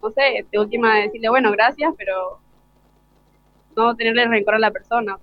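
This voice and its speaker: A woman's voice: fairly high pitch, fairly even intonation, normal speed, no room sound. A teenage girl with an Argentine accent, answering casually in an interview as a student. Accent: Argentine Spanish. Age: teenager, final school years. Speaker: student podcaster being interviewed. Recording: dull lo-fi live broadcast sound.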